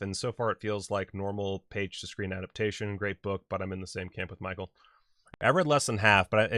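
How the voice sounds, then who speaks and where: A man's voice: on the low side, fairly bright tone, no echo, clean studio sound. A man hosting a casual movie-talk livestream, rambling, swearing freely and mocking himself. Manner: rambling, profane, self-mocking. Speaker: podcast host.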